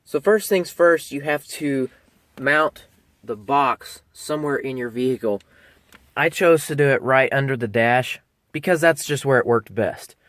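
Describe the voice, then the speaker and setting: A man's voice: medium pitch, a little slow, fairly bright tone, dry recording. A man narrating a casual how-to video, explaining each step in a plain-spoken, informal way. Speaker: vlogger presenting a DIY tutorial.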